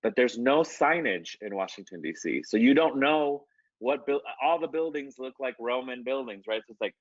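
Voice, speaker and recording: A man's voice: medium pitch, expressive, normal speed, dry recording, highs cut off. A man, an artist, answering interview questions in a loose, conversational way, with many fillers and restarts. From United States.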